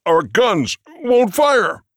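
A man's voice: high-pitched, sing-song, very slow, no room sound, clean studio sound, exaggerated voice. A man playing an obedient, bewildered military corporal in a comedy audio drama. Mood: dutiful, puzzled.

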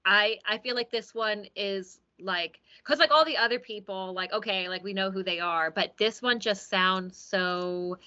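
Woman, bright crisp tone, eager gushing co-host, muffled lo-fi sound. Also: mid-range voice, unhurried, hardly any pauses. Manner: gushing, enthusiastic, explains at length. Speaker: podcast co-host. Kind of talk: casual chat about song contests.

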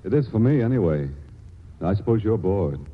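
An actor playing an earnest, awkward, lovesick suitor in a 1940s radio drama, halting and stammering as he adores and pleads. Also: lowish voice, lively intonation. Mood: awkward, shy. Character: earnest, awkward, lovesick scientific young suitor, hesitant and adoring. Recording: tinny, band-limited 1940s radio broadcast recording.

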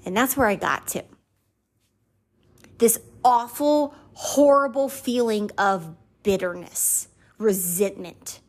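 A woman in her twenties hosting a podcast, talking earnestly and confessionally about gratitude and faith. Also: medium pitch. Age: 20s. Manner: earnest and confessional, voices her own doubts in a mocking lilt. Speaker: podcast host. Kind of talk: personal faith reflection monologue.

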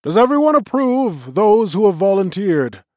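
A man voicing a gentle old magician. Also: high-pitched, big pitch swings, normal speed, no echo, studio quality, pitched above the performer's own voice. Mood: measured. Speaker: elder magician leading a council.

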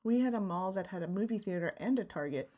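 A woman's voice: mid-range voice, expressive, fast, dark tone. Woman cohost rambling thru funny stories, laughs a lot, casual podcast chat. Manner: chatty storyteller, laughs often. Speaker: podcast co-host.

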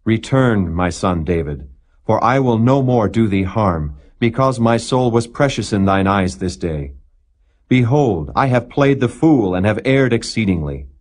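A man's voice: on the low side, animated, measured pace, voice pushed up. A man reading a remorseful, fatherly king of ancient Israel with grave, formal, emphatic archaic speech.

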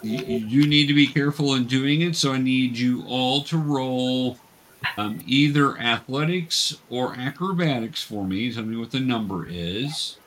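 A man's voice: mid-range voice, unhurried, a bit bright, slight background noise. A man acting as game master, casually narrating and patiently explaining the game to new players in an encouraging way.

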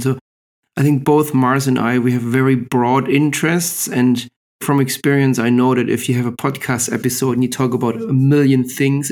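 A man's voice: medium pitch, a little mellow. A man hosting a podcast over a call, upbeat and summarizing topics for listeners. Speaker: podcast host. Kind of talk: casual interview chat. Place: remote call. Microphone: video call.

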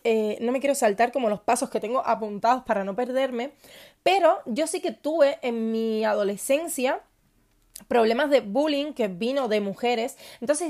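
A woman from Cuba hosting her own podcast, telling her personal story in a confiding, enthusiastic way with many pauses and fillers. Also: a little high, a little mellow. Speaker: podcast host.

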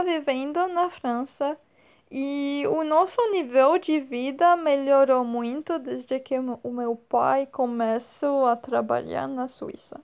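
A woman in her twenties from France with a French accent, speaking slowly and hesitantly as an interview guest, often pausing to search for words. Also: high voice. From northern France. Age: 20s. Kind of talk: slow, careful speech with many pauses and fillers.

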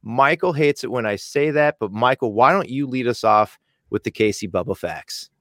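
A man hosting a podcast with a smooth voice, running a casual interview in a friendly, energetic way. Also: medium pitch, moderate pace, dry recording, studio quality. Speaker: podcast host.

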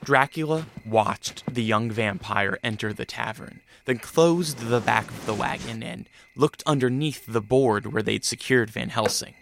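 A man narrating a radio drama from a script in a measured storytelling manner. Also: mid-range voice, gravelly, a bit bright, slight background noise.

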